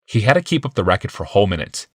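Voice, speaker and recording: A man's voice: lowish voice, sing-song, rapid-fire, husky, dry recording, clean studio sound. A man narrating fiction as a scripted, dramatic audiobook.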